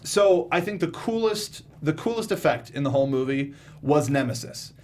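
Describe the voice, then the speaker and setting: Higher-pitched man, informal podcast host. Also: lively intonation, moderate pace, a little mellow, a little hiss or hum. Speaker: talk show host. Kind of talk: casual film review discussion.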